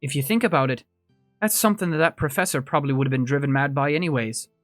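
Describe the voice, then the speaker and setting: A man's voice: on the high side, fast, dry recording, faint music behind, lightly acted. A man voicing a dry, wry, seasoned female law officer in a tabletop game. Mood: reassuring.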